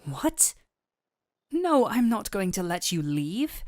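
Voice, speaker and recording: A woman playing a gentle but firm, caring fantasy doctor in an intimate roleplay audio, speaking softly and earnestly to the listener. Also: medium pitch, very animated, dry recording, clean studio sound. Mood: firm, protective. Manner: soft, soothing, unhurried, earnest.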